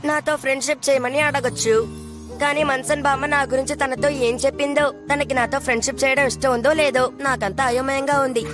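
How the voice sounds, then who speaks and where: A woman's voice: sits high, steady tone, fast talker, music in the background, natural voice. A woman voicing an anxious, earnest schoolboy in a dubbed cartoon, flustered and protesting. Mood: uncertain.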